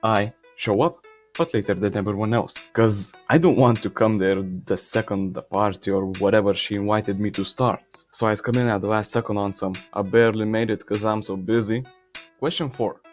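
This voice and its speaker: A man's voice: lowish voice, a little fast, soft background music, natural voice. A man playing a brash, selfish peasant, reading questions and answering in cocky, blunt slang. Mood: nonchalant. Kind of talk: in-character answers to read-out questions.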